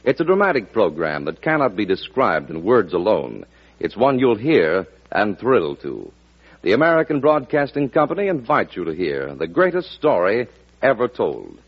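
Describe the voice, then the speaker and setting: A man's voice: fairly low pitch, wildly swooping pitch, normal speed, breathy edge. 1940s radio announcer man, earnest and polished, lots of up and down, muffled old broadcast.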